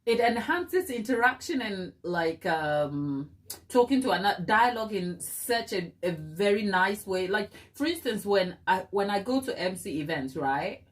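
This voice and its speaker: A woman's voice: mid-range voice, lively intonation, unhurried. A woman with a Ghanaian accent hosting a cake decorating livestream, chatting casually with her viewers and calling them "my people". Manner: chatty, addresses viewers as "my people", mixes in Twi.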